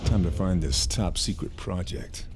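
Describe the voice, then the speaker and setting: A very low-voiced, gruff Allied sniper, speaking with dry, steely determination. It is video game cutscene acting, with music clearly behind the voice. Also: male voice, measured pace. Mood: terse, focused.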